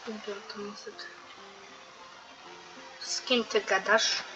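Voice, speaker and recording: A woman's voice: medium pitch, flat delivery, unhurried, lo-fi sound, over loud music. A woman hosting a gaming video, giving casual let's-play commentary in short offhand reactions.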